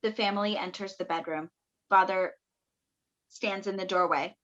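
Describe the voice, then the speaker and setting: A woman's voice: medium pitch, steady tone, moderate pace, fairly bright tone, dull audio. A woman reading stage directions as scripted narration for a play over a video call.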